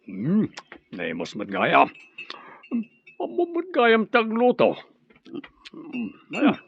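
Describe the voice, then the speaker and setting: A man's voice: high voice, huge pitch swings, very slow, vocal fry, slightly rough, muffled recording, heavily acted. An actor playing an easygoing, teasing Filipino family man in an Ilocano radio drama.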